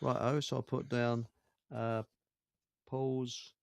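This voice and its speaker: A man's voice: mid-range voice, little pitch movement, takes it slowly, creaky, dry recording. A man hosting a live radio show in casual, rambling chat, often muttering to himself.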